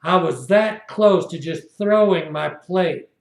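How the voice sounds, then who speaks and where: Man in his sixties, high-pitched voice, indignant rambling monologue, echoey room. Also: slow, vocal fry. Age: 60s. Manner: indignant, repetitive storytelling. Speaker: video blogger. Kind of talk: rambling first-person monologue.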